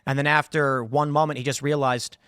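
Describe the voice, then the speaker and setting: A man's voice: mid-range voice, flat delivery, a little fast, fairly bright tone, clear, resonant tone, dry recording, clean studio sound. A man hosting a talk show, summarizing a story in a casual, attentive way. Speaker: show host.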